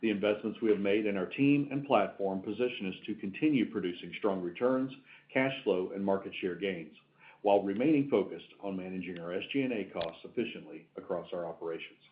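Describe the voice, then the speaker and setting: A man's voice: low voice, fairly quick. A man delivering prepared financial figures as a company executive on an earnings call. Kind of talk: scripted financial presentation. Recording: tinny phone-like conference line.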